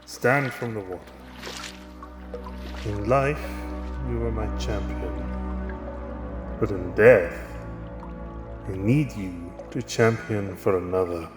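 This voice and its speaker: An actor playing a grave, commanding king in an audio drama, speaking in a solemn, deliberate, imperious way. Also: lowish voice. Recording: echoey hall reverb, music behind.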